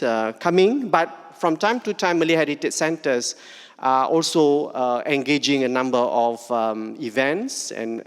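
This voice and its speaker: A man's voice: medium pitch, in a large hall. A middle-aged man, introducing a speaker at a forum, hesitant with many fillers and frequent "yeah?" checks. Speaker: forum moderator and lecturer.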